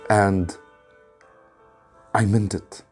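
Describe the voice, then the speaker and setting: A man's voice: fairly low pitch, fairly even intonation, drawn-out words, husky, faint music behind. A man reads a novel aloud as a teacher, in a dramatic audiobook style. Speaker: English teacher reading a story aloud.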